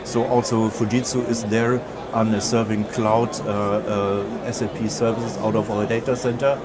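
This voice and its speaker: A man from Germany with a German accent, giving a conference presentation, pausing often with filler sounds and restarting sentences. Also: fairly low pitch, little pitch movement, heavy background noise. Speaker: conference speaker.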